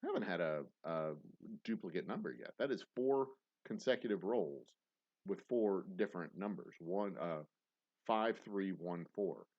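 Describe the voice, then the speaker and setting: A man with a fairly low voice hosts a casual trivia night on a stream, his pitch swinging widely as he rambles and jokes at his own expense. The audio is a bit dull and lo-fi. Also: animated, unhurried. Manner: rambling, self-mocking jokes, frequent restarts. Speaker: host reading trivia questions on a livestream. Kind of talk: casual live-stream banter.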